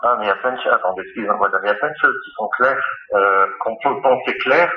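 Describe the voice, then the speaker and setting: A man's voice: lowish voice, quick pace. A man explaining religious points in an impromptu phone discussion, speaking hesitantly and often repeating his phrases. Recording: dull, echoey phone-call recording.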